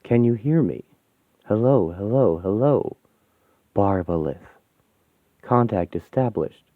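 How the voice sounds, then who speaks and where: A man's voice: on the low side, expressive, slow, no room sound. A man voicing a cold alien machine intelligence in a story, giving clipped, cryptic technical announcements. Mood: clinical. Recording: thin phone-like sound.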